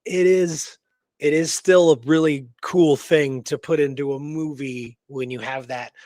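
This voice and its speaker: A man's voice: on the high side, fairly even intonation, a little slow, mellow, dry recording. Casual man co-hosting, dry close sound. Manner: conversational, checks in with "right?" and "you know". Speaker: radio show co-host. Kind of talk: casual discussion and retelling of historical research.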